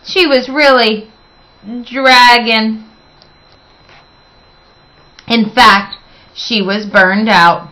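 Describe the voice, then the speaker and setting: A woman reading a children's storybook aloud as expressive narration, with dramatic, emphatic phrasing. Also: a little high, very slow, long pauses, poor audio.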